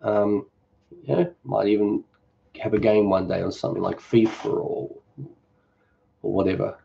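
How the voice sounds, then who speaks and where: Mellow, easygoing Australian man. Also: fairly low pitch, unhurried, vocal fry, highs cut off. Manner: relaxed, rambling, joking. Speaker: cooking channel host. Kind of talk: casual live-stream Q&A.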